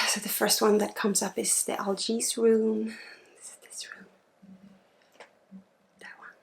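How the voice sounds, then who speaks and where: A woman speaks in a fairly high, very creaky voice. She slips in and out of a breathy whisper, talking informally and haltingly as a spiritual healer in an ASMR style. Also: level tone. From Sweden. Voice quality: breathy, often dropping into a whisper. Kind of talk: ASMR, softly spoken and whispered guided energy healing.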